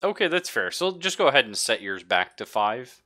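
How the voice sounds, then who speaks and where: A man acting as game master, narrating casually and haltingly as he thinks aloud. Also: mid-range voice, very animated, sharp tone. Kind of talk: casual narration of a tabletop roleplaying game, live stream.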